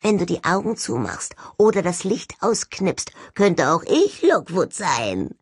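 A woman's voice: lowish voice, very animated, unhurried, husky, dry recording, studio quality, full character voice. A woman voicing a sullen, sardonic male ghost of a skull in a jar for an audiobook, grumbling and mocking with indignation. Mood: sardonic, goading. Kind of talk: audiobook character voice.

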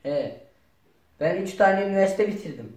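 A teenage young man, bantering casually and deadpan in an improvised comedy skit. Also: high-pitched, moderate pace, far from the mic. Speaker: performer in a family comedy skit. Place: home dining room. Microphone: camera a few metres away. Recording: roomy echo with faint noise.

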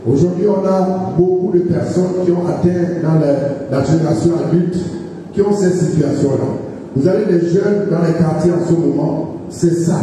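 Middle-aged male preacher from Gabon, slightly high voice, halting sermon delivery, distant in a reverberant church hall. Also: background noise. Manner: slow, halting delivery with repeated words and hallelujahs. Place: large church hall, indoors. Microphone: handheld microphone. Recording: echoey hall, voice from afar.

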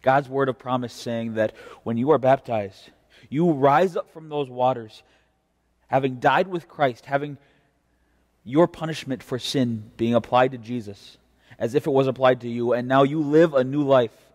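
A young American man preaching a devotion as a pastor, in a measured, earnest and deliberate way. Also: mid-range voice. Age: young adult. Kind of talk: devotional preaching with scripture reading. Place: indoor church chancel. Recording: reverberant church, mic at a distance.

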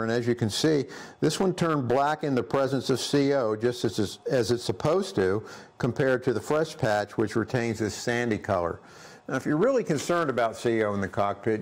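A man in his sixties presenting a scripted product review in a workshop, brisk and plain-spoken with dry humor, on a lapel mic. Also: mid-range voice. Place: indoor workshop studio.

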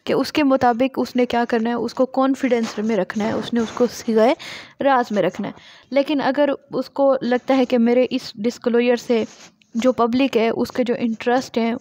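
A woman's voice: a little high, darkish tone, no echo. A woman with a Pakistani accent giving a law lecture, reading formal text aloud and explaining it by asking and answering her own questions.

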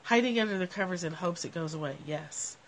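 A woman's voice: fairly low pitch, fairly quick, slight background noise, poor audio. A middle-aged woman with a cold and a nasal voice, talking casually to her livestream viewers on a covered porch, phone held at arm's length. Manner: animated, gestures a lot while talking. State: has a cold. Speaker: livestream host. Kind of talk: casual reflective talk to viewers.

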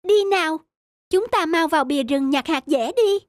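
A woman's voice: high voice, lively intonation, moderate pace, dry recording, studio quality. A woman voicing a cheerful, eager little squirrel in a children's cartoon. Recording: faint music under the voice.

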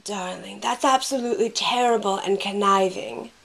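A woman's voice: mid-range voice, moderate pace, vocal fry, poor audio. A woman playing a gushing, conniving villainess in an audio drama, with a theatrical drawl. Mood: gleefully scheming.

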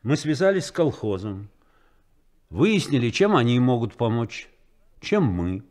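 A man's voice: mid-range voice, big pitch swings, measured pace, a little mellow. An actor playing a principled, fatherly ship's political officer in a 1970s Soviet radio play, formal and authoritative. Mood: firm, probing.

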